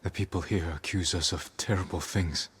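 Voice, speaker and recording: A man in his thirties playing a grave, dutiful samurai lord and haunted son, speaking with restrained, measured solemnity in a dramatic video game. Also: sits low, steady tone, a bit bright, husky, heavily acted. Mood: sorrowful.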